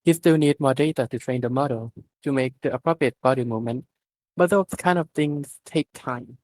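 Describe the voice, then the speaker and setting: A man's voice: mid-range voice, normal speed, a little mellow, a little hiss or hum, rough recording. A man doing an informal live amateur reading of a story, stumbling over words now and then. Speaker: reader of fan fiction at an online readalong.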